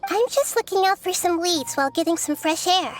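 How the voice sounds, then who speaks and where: An actress voicing a gentle, wise young girl goddess who speaks softly, calmly and thoughtfully. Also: very high-pitched, a little fast, loud music behind the voice. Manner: soft, calm, thoughtful. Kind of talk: game character dialogue.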